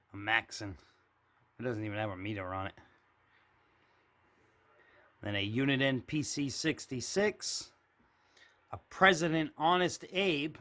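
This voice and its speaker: A man's voice: mid-range voice, sharp tone. Plain-spoken man giving a casual tour. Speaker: CB radio hobbyist giving a tour of his radio shack. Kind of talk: casual unscripted show-and-tell commentary. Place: indoor room with shelves of radios.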